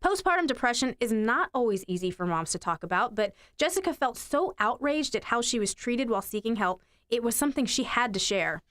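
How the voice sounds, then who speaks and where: A woman with a fairly high voice works as a news anchor. She delivers reports and studio conversation in a broadcast style. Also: animated, brisk, few pauses, fairly bright tone.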